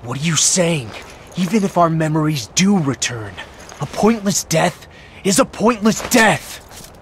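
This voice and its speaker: An actor playing a skeptical, stubborn warrior hero, blunt and wry, in a video game cutscene. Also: male voice, fairly high pitch, expressive, measured pace, a little hiss or hum. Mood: urgent resolve.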